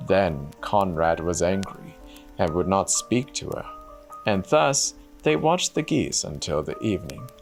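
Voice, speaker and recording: Male storyteller, low register, slow dramatic fairy-tale narration over soft music. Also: animated.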